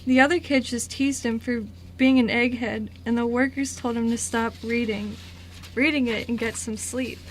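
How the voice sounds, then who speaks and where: A woman's voice: fairly high pitch, fairly even intonation, normal speed. A woman reading scripted story narration for an amateur radio drama. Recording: noisy amateur radio recording.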